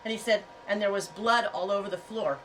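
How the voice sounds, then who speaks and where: A woman's voice: medium pitch, a little fast, fairly bright tone, distant mic, a little hiss or hum, poor audio. Chatty woman with a head cold. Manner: chatty, rambling, laughs often. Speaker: yarn and fiber farm vlogger. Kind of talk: casual storytelling to viewers. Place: home on a farm.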